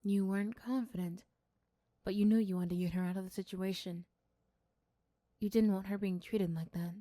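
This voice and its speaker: A woman narrates a scripted ASMR roleplay in a soft-spoken way. Her voice is mid-pitched and mellow, and the recording is dry. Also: fairly even intonation, moderate pace, no room sound. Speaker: storyteller and voice performer.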